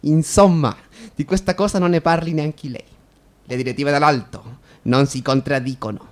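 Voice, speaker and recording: An actor in an Italian-language radio drama playing an evasive, deferential police inspector who reports in a guarded, halting way. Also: mid-range voice, close to an everyday voice. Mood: confidential, evasive.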